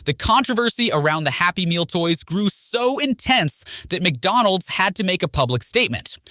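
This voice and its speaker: A man's voice: a little high, bright, forceful tone, dry recording, studio quality. A man reading a scripted film trivia narration in a presenter's manner, posing questions to the listener. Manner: presenter-like, poses rhetorical questions. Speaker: video narrator.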